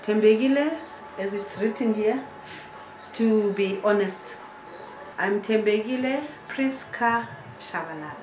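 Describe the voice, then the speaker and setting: A middle-aged woman with a South African accent, telling her personal story in a deliberate, pausing manner in an interview. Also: medium pitch, darkish tone, slight background noise. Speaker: founder and head of a children's care centre. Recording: roomy echo with guitar music.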